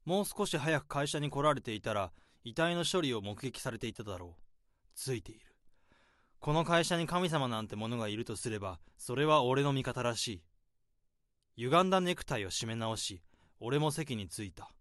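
A man's voice: mid-range voice, a bit bright, no room sound, clean studio sound, lightly acted. An actor playing a nervous, overworked office worker, switching between flustered dialogue and tense first-person inner monologue. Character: overworked nervous office drudge man hiding a secret. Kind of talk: radio drama acting with narration.

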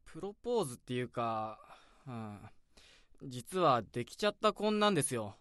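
A man's voice: medium pitch, very animated, a bit bright, no echo, studio quality. A man playing an eager young junior employee who is easily startled, in a radio drama. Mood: flustered. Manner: quick, bouncy, easily surprised.

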